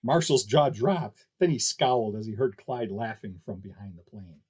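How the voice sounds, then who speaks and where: A man's voice: lowish voice, moderate pace, a little mellow, breathy edge. A man reading scripted fiction aloud as a narrator.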